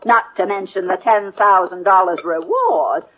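An American actress playing a fussy, talkative, folksy older boardinghouse keeper in a 1950s radio western. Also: female voice, medium pitch, measured pace, close to an everyday voice. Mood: grumbling. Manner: folksy, chattering, flustered. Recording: muffled 1950s radio recording.

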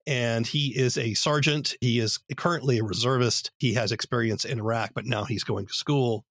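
A man's voice: mid-range voice, dry recording, studio quality. A man hosting a podcast, explaining and summarising in an orderly narrating style. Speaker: podcast host.

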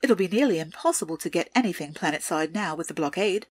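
A woman playing a calculating, deferential underworld information broker in an audio drama, with formal, measured, precise delivery. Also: lowish voice, lively intonation, darkish tone, no room sound. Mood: businesslike.

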